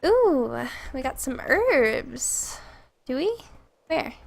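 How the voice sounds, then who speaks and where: A woman's voice: high-pitched, big pitch swings, very slow, creaky. A young woman streaming a game, chatting casually and rambling to chat while congested and stuffy from a cold.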